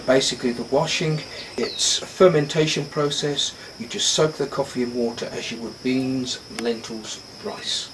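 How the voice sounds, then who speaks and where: A man's voice: mid-range voice, moderate pace, hardly any pauses, far from the mic, noisy background. A man guiding a tour of his coffee farm, patiently explaining step by step with the odd filler word, recorded outdoors. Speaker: coffee farm owner and guide.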